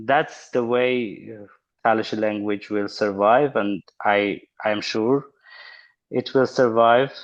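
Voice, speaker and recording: A man's voice: on the low side, lo-fi sound. A man in his thirties with an Armenian accent, a linguist answering interview questions in a hesitant, thoughtful way with many fillers. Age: 30s. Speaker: linguist guest. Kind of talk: casual interview over a call.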